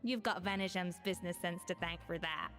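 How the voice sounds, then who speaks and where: An actress playing a proud, composed young female chef in a video game, poised, deliberate and self-assured. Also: on the low side, fairly bright tone, soft background music, natural voice. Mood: explaining, doubtful.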